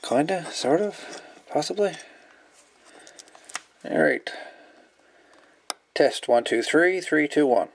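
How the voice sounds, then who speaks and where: A man's voice: fairly high pitch, lively intonation, takes it slowly, creaky, long pauses, a little hiss or hum. A man hosting a casual hands-on electronics demo, rambling and thinking aloud as he goes. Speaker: hobbyist video host.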